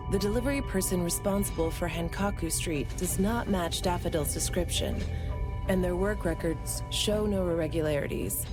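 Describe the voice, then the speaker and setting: A woman voicing a composed, formal government agent giving a measured briefing in a video game. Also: on the low side, lightly acted. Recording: prominent game music underneath. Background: music.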